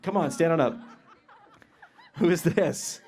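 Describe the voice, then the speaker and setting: A man hosting a live-stream pre-ceremony show outdoors, interviewing graduates with energetic, joking questions he often repeats. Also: on the high side, slow pace.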